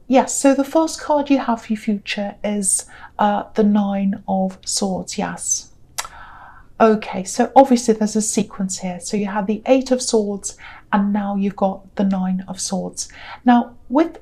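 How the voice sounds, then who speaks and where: Tired, croaky woman giving a gentle tarot reading. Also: mid-range voice, unhurried. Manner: gentle, reassuring, rambling with many fillers. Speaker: tarot reader. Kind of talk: casual tarot reading to camera.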